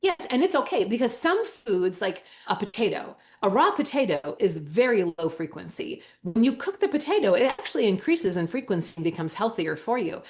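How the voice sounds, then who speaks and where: A woman's voice: medium pitch, big pitch swings, brisk, hardly any pauses. A woman with a Wisconsin accent explaining things enthusiastically and emphatically as an interview guest, using everyday analogies. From Wisconsin, United States. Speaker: natural medicine school owner and teacher, interview guest. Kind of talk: animated explanatory interview talk.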